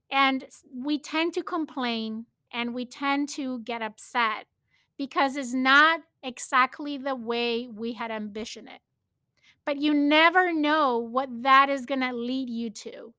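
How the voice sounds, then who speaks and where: A woman from Spain with a Spanish accent talks through her own podcast in an earnest, storytelling way, leaning on "like" as she goes. Her voice sits high and bright, and she takes her time. The sound is close and dry. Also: no echo. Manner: earnest, storytelling with frequent "like" fillers. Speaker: podcast host. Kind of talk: reflective solo podcast talk.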